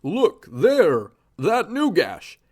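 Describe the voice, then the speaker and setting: A man playing an excitable golden-age superhero in a radio drama, with a high voice that swoops widely and unhurried delivery. He exclaims in old-fashioned heroic phrasing, and there is clear background noise on the recording. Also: full character voice. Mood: alarmed. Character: Green Lantern, 1940s male superhero, excitable.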